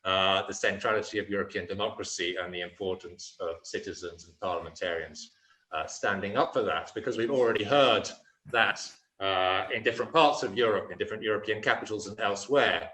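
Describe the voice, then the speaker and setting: A man's voice: on the low side, brisk, bright, forceful tone. Man speaking in an echoey room. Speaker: conference speaker. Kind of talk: conference speech.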